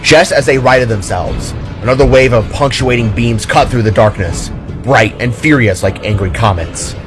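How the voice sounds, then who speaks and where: A man's voice: mid-range voice, normal speed, over music. A man narrating a scripted audio drama in a dramatic storytelling manner.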